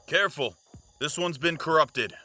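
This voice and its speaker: A man's voice: a little high, normal speed, over loud music, close to an everyday voice. A man playing a brave, wry young warrior in a fantasy audio drama, with a dramatic delivery. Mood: warning.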